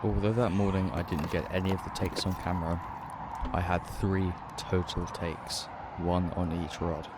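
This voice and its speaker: A man's voice: deep voice, level tone, moderate pace, noisy background. A man with a British accent talking casually as an angler making a fishing vlog. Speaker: angler and vlogger.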